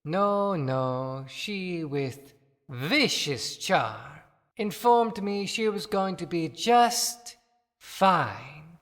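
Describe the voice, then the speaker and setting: A man performing a wry, bitter, grandly wordy freed husband in a measured, formal audio play monologue. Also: a little high, a bit bright, heavily acted. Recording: long reverberant echo.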